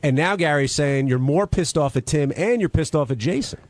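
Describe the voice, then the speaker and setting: A man's voice: on the high side, lively intonation, brisk, no room sound. A man hosting a radio call-in show, moderating the discussion. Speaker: radio wrap-up show host.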